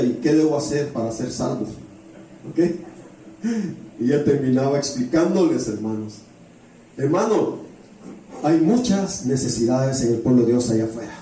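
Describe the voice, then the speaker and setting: A man's voice: mid-range voice, dark tone. A man preaching a sermon through stories, repeating phrases for emphasis and often putting rhetorical questions to the congregation. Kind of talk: sermon with storytelling. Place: church hall with heavy echo. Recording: very echoey hall, distant mic, faint noise.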